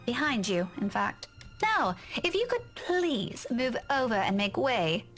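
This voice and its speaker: A high-voiced, irritated young schoolteacher. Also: female voice, big pitch swings, a little slow, fairly bright tone. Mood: curt, sarcastic. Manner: clipped politeness, sarcastic. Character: irritated, sharp-tongued young woman schoolteacher. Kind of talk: visual novel voice acting. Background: game music.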